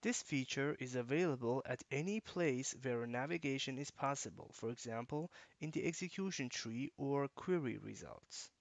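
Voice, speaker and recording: Measured man narrating a software tutorial. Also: medium pitch, lively intonation, no room sound. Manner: measured, instructional. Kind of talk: scripted screencast narration.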